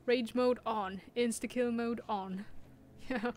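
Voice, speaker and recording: A woman's voice: a little high, fairly even intonation, slow pace, darkish tone, a little hiss or hum. Chatty German woman streaming a game. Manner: chatty, laughs often, reads chat aloud. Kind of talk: casual gameplay commentary.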